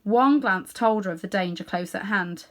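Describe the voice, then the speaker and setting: A woman reading a children's story aloud in an animated way, with dramatic pauses and hushed little asides. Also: medium pitch. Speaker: storybook reader.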